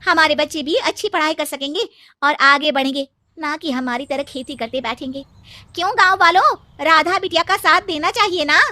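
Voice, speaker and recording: A woman's voice: high-pitched, moderate pace, bright, forceful tone, dry recording, voice pushed up. A woman voicing an emphatic, supportive villager who rallies the neighbours in a cartoon story. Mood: rousing. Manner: emphatic, rallying.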